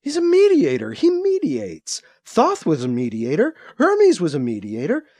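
A man's voice: high voice, wildly swooping pitch, moderate pace, a little mellow, no room sound, studio quality, full character voice. A man voicing a distressed middle-aged mother who rambles fervently.

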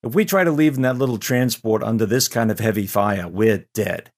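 A man puts on a low-voiced transport pilot from a science fiction audio story. He sounds laconic and wary, talking in a casual, matter-of-fact, folksy way. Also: a little fast, natural voice. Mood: wary, practical. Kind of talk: audio story character dialogue.

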